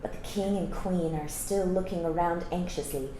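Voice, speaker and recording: A woman reading a children's story aloud expressively, pausing to explain things to young listeners. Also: on the low side, fairly even intonation. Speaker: storytime reader. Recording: echoey room with faint background noise.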